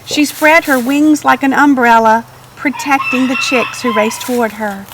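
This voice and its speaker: A woman's voice: high-pitched, fairly even intonation, a little slow, background noise. A woman narrating a children's storybook expressively. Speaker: storybook reader.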